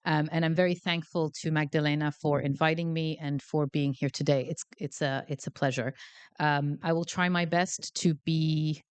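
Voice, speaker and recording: A woman's voice: low-pitched, steady tone, normal speed, clear, resonant tone. A woman giving a guest lecture in a hall, chatty and self-deprecating, with many fillers, speaking into a handheld mic. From Canada. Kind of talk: academic lecture. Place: lecture hall before a live audience.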